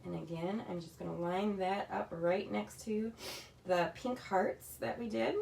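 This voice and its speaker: A woman's voice: medium pitch, unhurried, a little mellow. Chatty woman demonstrating a craft kit. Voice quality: slightly raspy. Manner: chatty, talks while working with her hands. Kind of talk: casual crafting tutorial. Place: home craft room.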